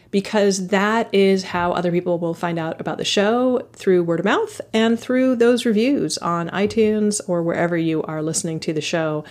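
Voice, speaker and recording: A woman's voice: mid-range voice, a little mellow. A woman hosting a podcast, talking in a casual, enthusiastic way and laughing often. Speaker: podcast host.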